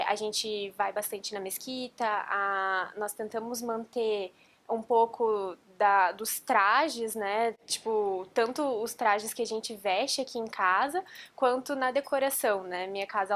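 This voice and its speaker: A young woman talking casually in an interview, chatty and full of fillers. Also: on the high side. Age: young adult.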